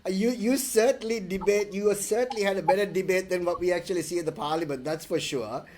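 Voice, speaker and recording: A man's voice: sits high, brisk, darkish tone. Chatty man hosting a podcast interview. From Sri Lanka. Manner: chatty, frequent restarts and fillers. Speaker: interviewer host.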